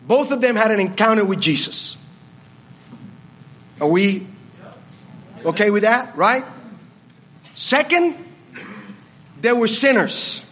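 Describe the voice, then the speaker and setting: A man's voice: high voice, a bit bright, slight background noise. A man with a Puerto Rican accent giving a reflective communion meditation at a church service and asking the congregation questions. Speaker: communion speaker.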